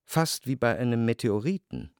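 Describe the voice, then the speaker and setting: A man's voice: medium pitch, animated, normal speed, a little mellow, clean tone, no room sound, natural voice. Dry-sounding actor as reflective male literary narrator. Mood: reflective, ironic. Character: reflective, ironic literary narrator, a Parisian gentleman. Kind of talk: scripted literary narration in radio drama.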